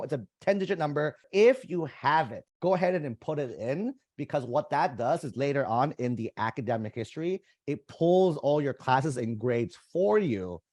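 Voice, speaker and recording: A man's voice: medium pitch, darkish tone, dry recording. A young man whose first language is Vietnamese talks through an application form in a loose, conversational way, calling his viewers "you guys." His mid-range voice sits close to the mic in a dry, dead room. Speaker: college admissions coach. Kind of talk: screen-share walkthrough tutorial.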